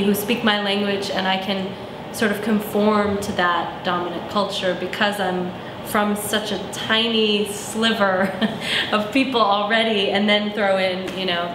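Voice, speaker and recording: A thoughtful young woman speaking in an interview, pausing to find her words. Her voice sounds roomy and echoey, with steady background noise in the recording. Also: mid-range voice. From Arizona, USA. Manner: thoughtful, searching for words with many ums. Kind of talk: reflective personal interview.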